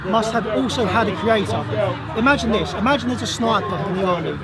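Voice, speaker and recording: A man with a high voice that has gone hoarse, pressing his points in a street debate. He talks insistently and doubles back on words when he is cut off. A crowd murmurs clearly around him in an open public park. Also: fairly quick. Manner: insistent, argumentative, repeats himself when cut off. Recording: outdoor crowd noise.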